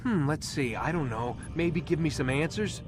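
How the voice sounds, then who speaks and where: A man's voice: a little high, expressive, normal speed, background noise, lightly acted. An actor playing a sarcastic, defiant young male captive in a video game, speaking slangy, informal American English. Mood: wry, probing. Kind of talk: video game cutscene acting.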